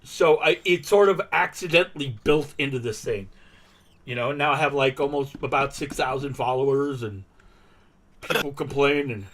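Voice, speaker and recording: A man's voice: mid-range voice, normal speed, a bit bright, slight background noise. A man chatting casually and telling anecdotes, acting out quotes and checking in with the listener. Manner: animatedly quotes himself and others, frequent "right?" tags. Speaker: language-learning live streamer.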